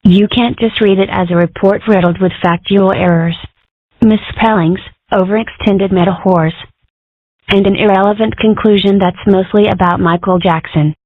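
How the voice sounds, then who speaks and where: A woman's voice: medium pitch, fairly even intonation, normal speed, no echo, lightly acted. A woman plays a mischievous young cartoon schoolgirl with big words and an indignant, theatrical streak. The sound is very dry and thin, squeezed like a phone line. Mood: indignant, wordy. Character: Millie, precocious, argumentative, mischievous young schoolgirl cartoon character. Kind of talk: comic strip dub. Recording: muffled lo-fi phone-like home recording.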